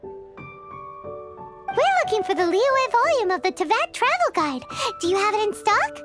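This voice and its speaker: Childlike fairy sidekick, blunt and perky, sky-high and animated, game dialogue. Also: female voice, measured pace, heavily acted. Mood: curious, let down. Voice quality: squeaky childlike voice. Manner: perky, refers to herself by name. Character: tiny floating fairy girl companion, childlike, perky, blunt. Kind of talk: scripted anime-style video game dialogue. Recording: prominent music behind the voice.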